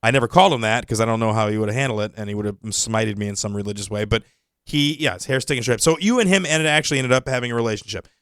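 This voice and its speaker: A man talks quickly in a dry, close-sounding studio, hosting a casual comedy chat show. He teases and jokes as he goes, with an ordinary mid-range voice. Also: medium pitch, fairly bright tone, no room sound. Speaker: show host.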